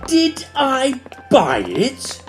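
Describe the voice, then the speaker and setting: A woman's voice: high voice, wildly swooping pitch, very slow, faint music behind, exaggerated voice. A woman playing a haughty, titled older lady, imperious and indignant, in an audio drama.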